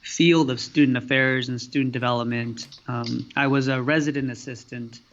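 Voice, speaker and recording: Us guy telling his story as a guest, kinda flat and halting, muffled lo-fi sound. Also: medium pitch. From United States. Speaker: guest, student affairs professional. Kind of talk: casual interview answers. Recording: dull lo-fi audio with faint noise.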